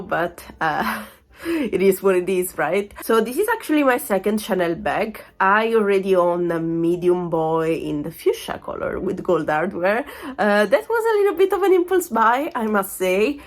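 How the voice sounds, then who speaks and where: A woman in her thirties chatting through a vlog unboxing, still getting over a cold, rambling with plenty of fillers and small laughs. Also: lowish voice. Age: 30s. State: recovering from a cold, voice sounds off. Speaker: vlogger. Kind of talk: casual unboxing chat.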